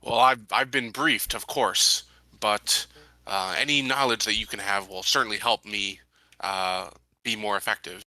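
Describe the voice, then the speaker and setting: A man's voice: lowish voice, steady tone, unhurried, sharp tone, no echo. A man chatting and roleplaying casually as a player in a tabletop game.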